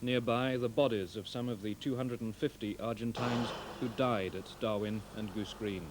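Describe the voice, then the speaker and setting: A man reading a measured, scripted TV news report in carefully composed phrases. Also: on the low side, steady tone, noisy background.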